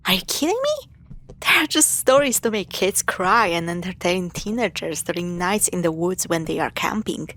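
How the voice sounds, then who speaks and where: A woman playing a teasing, affectionate young girlfriend in an intimate scripted audio roleplay, with sarcastic banter and hushed asides. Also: lowish voice, big pitch swings, normal speed. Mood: playful, teasing.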